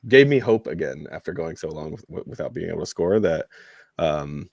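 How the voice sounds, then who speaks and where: Low-voiced man, wry sports podcaster. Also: lively intonation, fairly quick, gravelly, a little mellow, dry recording, clean studio sound. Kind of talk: casual soccer chat.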